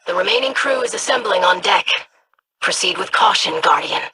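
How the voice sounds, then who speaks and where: An actress voicing a poised, sharp-tongued female noble ally in a video game, with dry, formal wit. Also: mid-range voice, lively intonation, moderate pace, noisy background, natural voice. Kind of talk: video game character dialogue.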